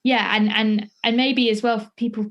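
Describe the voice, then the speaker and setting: A woman's voice: on the high side, steady tone, moderate pace, muffled recording. A woman chatting as an interviewer in a tentative, heavily hedged way. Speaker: interviewer, theatre maker. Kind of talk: casual interview conversation.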